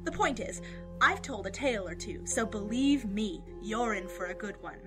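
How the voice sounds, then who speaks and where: A woman's voice: mid-range voice, expressive, normal speed, close to an everyday voice. A woman playing a cheeky, chirpy little gnome performer in a fantasy audio drama. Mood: cheeky, upbeat. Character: perky, cheeky female gnome performer, small. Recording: music under the voice.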